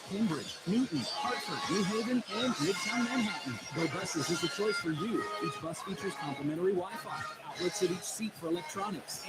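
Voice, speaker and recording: A man reading a scripted radio commercial as an announcer. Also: high-pitched. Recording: voice over loud music bed. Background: loud music.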